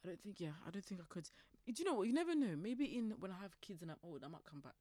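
A young man chatting casually, animated and playful, laughing often. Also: high-pitched, very fast, no echo. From England. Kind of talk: casual chat.

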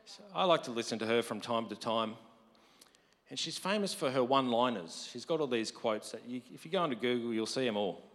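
A married man preaching a conversational sermon, his voice deep from lack of sleep, rising to emphatic quotes. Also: lively intonation, fairly quick, a bit bright. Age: adult, married. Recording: long hall reverb.

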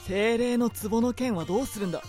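A woman playing a gruff, short-tempered, bossy young man in a radio drama, speaking curtly and brusquely. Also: on the high side, fairly quick, dark tone, faint music behind, close to an everyday voice. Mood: grumbling. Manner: curt, brusque, commanding.

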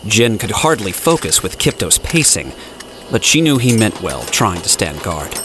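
Low-voiced man narrating an audio drama. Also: sing-song, normal speed, background noise.

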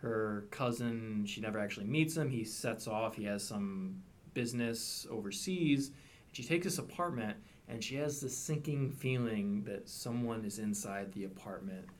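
A man's voice: fairly low pitch, normal speed, slight background noise. A man co-hosting a podcast, eagerly recommending a thriller author in a casual, conversational way. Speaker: podcast co-host. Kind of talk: casual chat, book recommendations.

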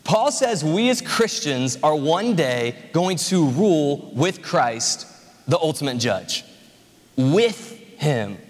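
A man's voice: fairly high pitch, very animated, takes it slowly. A man in his twenties preaching a conversational, joking sermon to a congregation. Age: 20s. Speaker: pastor. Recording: reverberant hall.

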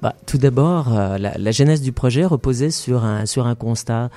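Hesitant French man, mellow voice. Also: medium pitch. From France. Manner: measured, hesitant with many fillers. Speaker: festival founder. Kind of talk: interview conversation.

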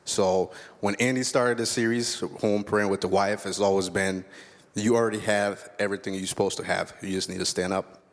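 A man from Liberia sharing his testimony on a church stage in a low, grounded voice. He speaks earnestly and candidly, reflecting as he goes. A stage mic carries him into a big hall with a long reverb. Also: steady tone, moderate pace. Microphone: stage microphone.

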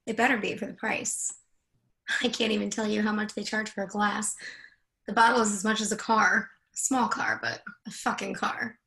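A woman's voice: medium pitch, fairly even intonation, moderate pace, creaky, pushed and bright, poor audio. A woman playing a confident, jaded senator's wife in a screenplay reading, teasing, assertive and freely swearing. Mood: frank. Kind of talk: dramatic screenplay table read.

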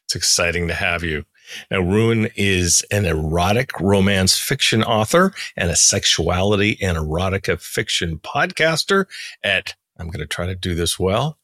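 A man's voice: deep voice, expressive, normal speed, fairly bright tone. A man hosting a podcast, reading scripted promos and introductions and putting questions to a guest. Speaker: podcast host.

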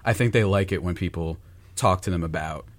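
A man's voice: low-pitched, fairly quick, no room sound. A young man chatting on the radio, rambling and self-deprecating with lots of fillers. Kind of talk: casual radio chat.

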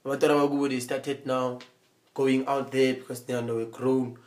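Man, halting and earnest. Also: medium pitch, level tone, a little mellow. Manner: halting, repetitive, checks in with "you understand?". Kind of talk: casual conversation.

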